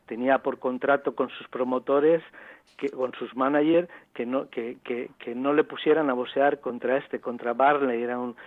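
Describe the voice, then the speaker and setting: Halting Spanish man explaining in an interview. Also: medium pitch, steady tone, no echo, narrow, tinny audio. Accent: Spain. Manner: halting, repetitive, digressive explaining. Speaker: author interviewed about own book. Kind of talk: conversational radio interview answers.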